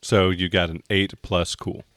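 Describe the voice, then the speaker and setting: Guy game mastering a tabletop rpg, lowish voice, feels his way thru descriptions, dry close sound. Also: level tone, measured pace, fairly bright tone, dry recording. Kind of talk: improvised tabletop game narration.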